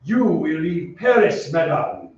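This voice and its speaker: Man acting a slow, echo-drenched masked phantom. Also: fairly high pitch, expressive, darkish tone. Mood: quietly menacing. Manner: slow, formal, politely threatening. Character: masked Phantom, soft-spoken courteous menacing killer. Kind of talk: old-time radio drama acting. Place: theatre stage. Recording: very echoey stage, distant, dull, noisy.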